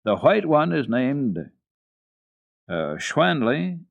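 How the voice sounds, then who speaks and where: A man voicing a kindly old grandfather in a children's storybook, speaking in plain, measured instructions. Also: on the high side, sing-song, creaky, narrow, tinny audio, pitched above the performer's own voice, heavily acted. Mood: instructing.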